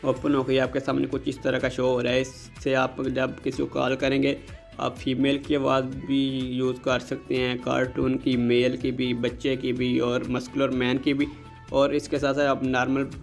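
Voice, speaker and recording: A man presents a phone app tutorial in a polite, casual way. His pitch stays fairly flat and his voice has a creaky, fried texture. Music plays faintly behind his narration. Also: moderate pace. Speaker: host of a tech tutorial channel.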